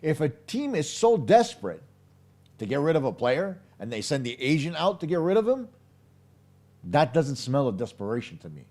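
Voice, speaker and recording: A man hosting a live post-game hockey show, his voice hoarse, talking in an emphatic, opinionated way straight to his viewers. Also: on the high side, sing-song, normal speed, a little mellow. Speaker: hockey podcast host.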